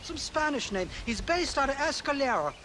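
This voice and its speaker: An actor playing a merry, forgetful Irish rogue in a game cutscene, rambling and hesitant with chuckles. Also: male voice, very high-pitched, sing-song, moderate pace, pushed and bright, a little hiss or hum, exaggerated voice. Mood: vague, merry. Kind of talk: video game cutscene acting.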